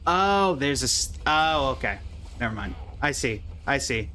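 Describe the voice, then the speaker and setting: A man streaming a game who reacts excitably and talks to his chat. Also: medium pitch, unhurried, a little hiss or hum. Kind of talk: casual live-stream reaction.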